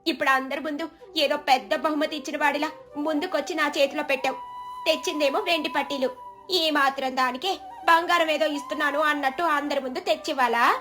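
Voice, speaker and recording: A woman's voice: high-pitched, fairly even intonation, fairly quick, bright, forceful tone, faint music behind, voice pushed up. A woman voicing a haughty, scornful sister who scolds irritably in a moral story. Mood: scornful, irritated. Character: haughty, scornful younger sister at her daughter's wedding.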